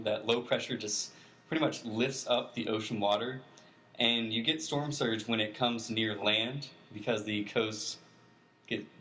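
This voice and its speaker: Lowish-voiced man explaining informally. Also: fairly bright tone. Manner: plain-spoken, explains step by step. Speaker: weather expert guest. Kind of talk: informal explanatory interview answers.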